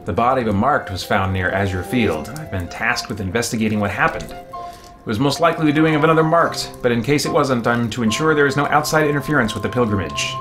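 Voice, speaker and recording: A man's voice: mid-range voice, quick pace, lightly acted. A man reading out a formal, earnest, wordy arbiter investigator from a fantasy game. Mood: businesslike. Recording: music playing behind the voice.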